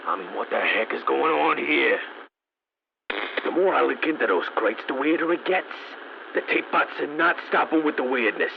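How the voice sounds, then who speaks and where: Weary, resourceful stranded mechanic, wry game audio log; muffled, static-laced recording. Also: male voice, on the high side, gravelly. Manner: wry, dry humor.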